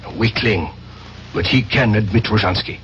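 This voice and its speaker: A man's voice: lowish voice, moderate pace, fairly bright tone, lightly acted. An actor playing a cold, commanding male spymaster with veiled menace in a 1970s radio drama. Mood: cold, menacing. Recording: muffled, noisy old radio drama recording.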